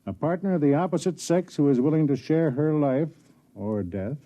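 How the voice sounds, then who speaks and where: An actor playing a kindly, self-deprecating older man, a senior officer who also narrates, in 1950s radio drama style with a measured, explanatory manner. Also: fairly high pitch, dark tone, natural voice. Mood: grave, explanatory. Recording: dull 1950s radio broadcast, music behind.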